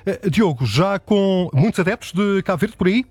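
A man presenting the radio news from a studio, measured and pausing often on fillers. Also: high voice, expressive, a little mellow, slightly rough, no echo. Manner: measured, with frequent hesitation fillers. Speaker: news anchor. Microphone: studio microphone.

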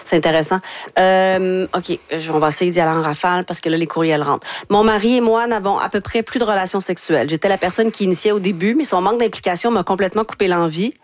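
A woman's voice: fairly low pitch, fast talker. A woman hosting an advice show, reading listener emails aloud and asking curious questions. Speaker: radio host.

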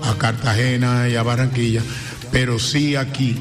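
A man's voice: medium pitch, level tone, moderate pace, music in the background. A man with a Dominican accent speaking as a guest singer in a radio studio, earnest and grateful, dwelling on blessings. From Dominican Republic. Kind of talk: radio interview.

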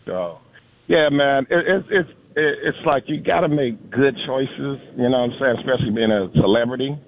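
A man's voice: mid-range voice, lively intonation, moderate pace, slight background noise. A man calling in to a sports show for the first time, laid-back and casual. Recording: tinny phone line.

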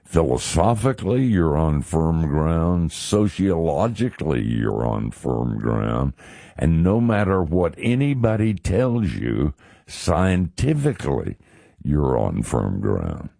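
A male talk radio host with an extremely deep, gravelly voice. He talks slowly and deliberately in a folksy, conversational way, his pitch moving freely for emphasis. The sound is close and dry. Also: big pitch swings, warm tone, no room sound.

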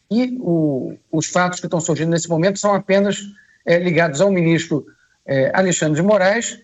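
A man with a cold giving measured radio news commentary, pausing often with hesitations. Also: high voice, a little mellow. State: hoarse from a cold. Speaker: political commentator.

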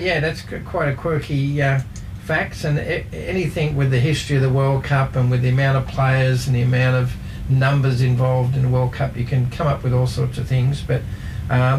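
A man talks through football facts in a relaxed sports chat, stopping often for ums as he checks his notes. His voice has a gravelly edge, and steady ambient noise sits behind him. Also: mid-range voice, moderate pace, hardly any pauses. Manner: hesitant, many ums, reads off notes. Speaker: co-host presenting football trivia.

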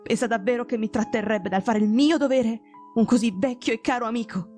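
A woman's voice: fairly high pitch, fairly quick, a little mellow, soft background music, natural voice. A woman voicing a loyal male doctor in an audiobook, earnest, caring and protesting. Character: loyal, concerned male doctor, old friend of patient.

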